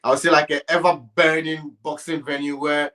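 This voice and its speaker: Guy hosting a sports interview, kinda halting, feels his way into each question. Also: on the high side, level tone, fairly bright tone. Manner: hesitant, halting, circles around questions.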